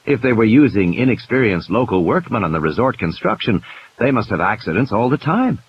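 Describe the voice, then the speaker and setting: A man's voice: on the low side, big pitch swings, fairly quick, lo-fi sound. A man narrating an audiobook play in a dramatic, scripted storytelling style. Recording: hissy, noisy background.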